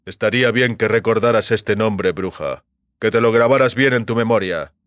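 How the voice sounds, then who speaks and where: A man voicing a brutal, gloating bounty hunter in an audiobook, speaking slowly and menacingly through gritted teeth. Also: fairly low pitch, fairly bright tone, no echo, lightly acted. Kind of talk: audiobook character acting.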